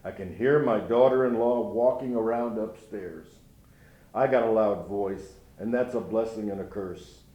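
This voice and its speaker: A man's voice: mid-range voice, lo-fi sound, natural voice. A man playing a bitter grieving old grandfather. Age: older. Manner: folksy storytelling, rueful. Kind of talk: dramatic monologue reading. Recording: roomy echo.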